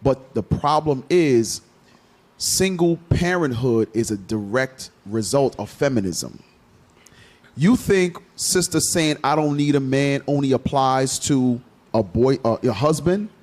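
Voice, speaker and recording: A man's voice: medium pitch, lively intonation, unhurried, darkish tone. A man hosting a radio talk show, lecturing in an assertive, preachy way during a casual group discussion.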